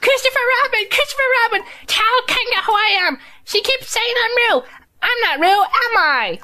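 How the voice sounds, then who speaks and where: Squeaky, very high little piglet. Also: lively intonation, normal speed, sharp tone, heavily acted. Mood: pleading protest. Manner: protesting, exclamatory. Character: small timid piglet, squeaky, indignant and pleading. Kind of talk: children's storybook audio drama.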